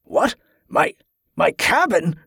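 A man's voice: fairly high pitch, expressive, normal speed, gravelly, breathy edge, no room sound, clean studio sound, exaggerated voice. A man voicing a furious, imperious nobleman in an audiobook, growling curt, impatient demands. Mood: furious, incredulous.

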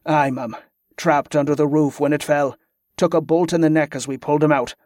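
A man's voice: on the high side, steady tone, brisk, darkish tone, dry recording, studio quality, natural voice. A man playing a grave, deferential male soldier who gives terse reports in inverted dialect phrasing, in a fantasy audiobook.

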